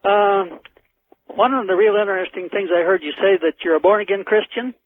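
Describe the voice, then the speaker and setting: A man with a markedly high voice calls in to a radio show. He speaks earnestly and deliberately over a thin, narrowband telephone line. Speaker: radio phone-in caller. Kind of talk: earnest call-in talk.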